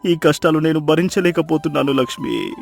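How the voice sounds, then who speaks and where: Male actor for a humble, wretched village fisherman: highish gravelly voice, pleading, music beneath. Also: a little fast. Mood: sorrowful, defeated. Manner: pleading, lamenting, self-blaming. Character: poor, despairing, humble fisherman husband. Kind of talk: acted moral-story dialogue. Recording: music behind the voice.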